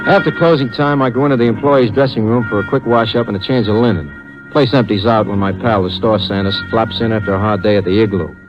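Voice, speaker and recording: Wisecracking hardboiled radio detective played by a man, low-voiced. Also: fast, lightly acted. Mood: wry narrating. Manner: slangy, wry tough-guy delivery. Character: wisecracking hardboiled store detective, male, easygoing. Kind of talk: 1950s radio detective drama acting, first-person narration. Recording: muffled vintage radio recording with music.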